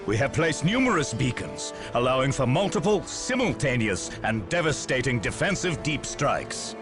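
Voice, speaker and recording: An actor playing a proud, zealous Space Marine commander in a video game, giving solemn, grandiose battle oratory with dramatic pauses. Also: male voice, mid-range voice, sing-song, over music, close to an everyday voice. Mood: rousing, resolute. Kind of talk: video game cutscene acting.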